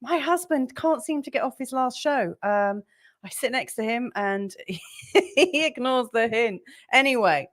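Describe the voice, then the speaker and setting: A woman hosting a casual live stream, with a slightly croaky voice and a rambling, chatty manner full of fillers. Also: a little high, expressive, a little slow. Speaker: livestream host.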